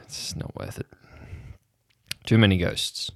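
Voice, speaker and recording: A man's voice: slow, no echo, clean studio sound, lightly acted. A man playing a bulky, half-mad, haunted uncle in an improvised audio drama, muttering paranoid, clipped and insistent lines. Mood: agitated, paranoid.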